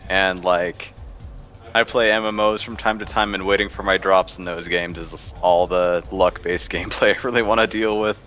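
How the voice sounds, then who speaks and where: A deep-voiced man talks through his gaming history in a loose, meandering way, leaning on "like" as he goes. Faint music plays underneath him. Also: fairly even intonation, normal speed, a bit bright. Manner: rambling, filler-heavy with frequent "like". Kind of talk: casual gaming chat.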